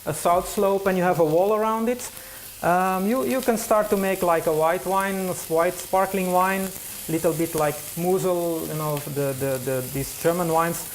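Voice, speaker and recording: A man's voice: high voice, fairly even intonation, moderate pace, a little hiss or hum, low-quality recording. A young Belgian man whose first language is Dutch, chatting in English as a guest cook with many hesitant fillers and restarts. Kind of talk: casual kitchen chat. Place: kitchen studio with frying.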